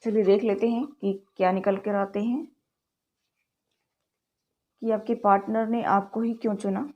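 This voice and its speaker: A woman's voice: medium pitch, flat delivery, a little slow, muffled recording. A woman with a sore throat giving a chatty tarot reading, often addressing viewers as "guys" and mixing English words into her speech. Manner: mixes English words into Hindi. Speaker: tarot card reader. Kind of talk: direct-to-camera tarot reading.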